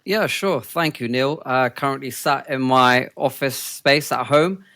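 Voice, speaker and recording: Male guest, 30s, Kenyan-born Londoner, medium pitch, conversational interview delivery. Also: a little slow, sharp tone. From born and raised in Kenya, later moved to London. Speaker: podcast guest, sales development rep. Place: home office.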